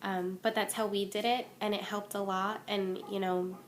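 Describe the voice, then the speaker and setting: A woman's voice: mid-range voice, level tone, normal speed. A young woman chatting candidly to camera from home in a casual vlog update, her voice a bit stuffy and worn from a cold. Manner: chatty and candid, many ums and restarts. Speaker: vlogger. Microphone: phone or webcam.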